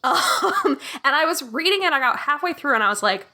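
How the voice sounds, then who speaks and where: Woman guest, bright forceful voice, a bit high, chatty and enthusiastic. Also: big pitch swings, brisk. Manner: enthusiastic, lots of "like" fillers and laughs. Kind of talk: casual podcast chat.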